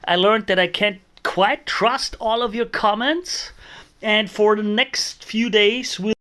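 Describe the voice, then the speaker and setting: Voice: medium pitch, fairly even intonation, slow pace, bright, forceful tone. A streamer from Austria, chatting casually with live viewers while cooking and answering them between remarks on the pot.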